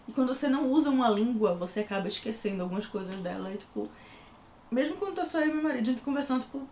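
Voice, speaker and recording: A woman's voice: medium pitch, a little mellow, a little hiss or hum. A young adult woman from Recife with a Pernambuco accent, chatting casually in a book review vlog. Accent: Recife Pernambuco Portuguese. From Recife, Pernambuco, Brazil. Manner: chatty, full of fillers. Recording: echoey room.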